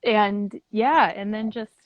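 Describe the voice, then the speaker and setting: A woman's voice: medium pitch, slow, no echo, dull audio. A woman chatting casually and cheerfully as a guest in an online video interview. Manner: upbeat, chatty. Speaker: puppet theater director, interview guest. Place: at the theater, moving between outside and lobby on a video call. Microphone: phone or laptop on a video call.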